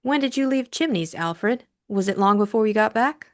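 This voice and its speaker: A woman voicing a headstrong young upper-class lady in an audiobook, brisk, teasing and probing. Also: fairly high pitch, lively intonation, voice pushed up, close to an everyday voice. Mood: curious. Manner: adamant, probing. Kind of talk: audiobook character acting.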